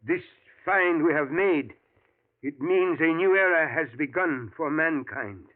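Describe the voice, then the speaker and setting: A learned, determined professor. He speaks in a formal, stiff way with a fairly high voice. The sound is thin and tinny, like an old radio broadcast. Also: animated, unhurried, soft background music. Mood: earnest. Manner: formal, stiff phrasing without contractions. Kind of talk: 1940s radio acting.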